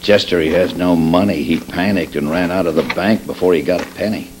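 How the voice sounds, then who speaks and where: An older American man playing a stern, weary Old West marshal in a radio drama, with a dry, measured delivery. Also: sits low, fairly even intonation, close to an everyday voice. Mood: weary, troubled. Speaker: radio actor. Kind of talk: old-time radio drama re-creation, read from script. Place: convention meeting room, indoors. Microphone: stand microphone on stage. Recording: noisy amateur video of live stage.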